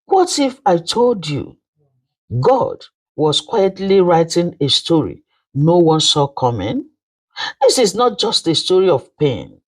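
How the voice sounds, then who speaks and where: A storyteller with a Nigerian accent narrating a folktale in an emphatic, theatrical manner with dramatic pauses. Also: mid-range voice, big pitch swings, slightly rough.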